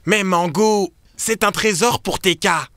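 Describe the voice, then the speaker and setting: A performer voicing an enthusiastic, excitable young boy adventurer in a children's cartoon. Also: medium pitch, measured pace, dry recording, studio quality, close to an everyday voice. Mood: lighthearted. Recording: music under the voice.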